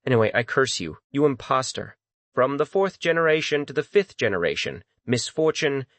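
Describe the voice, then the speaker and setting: A man's voice: mid-range voice, animated, moderate pace, fairly bright tone, dry recording. An overwrought male ghost servant, acted out by a male narrator, in a fantasy audio drama. His voice leaps up and down, urgent and emotional, in a very dry recording. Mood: bitter fury. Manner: blustering, then pleading and servile. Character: panicked, cursing, groveling male servant ghost.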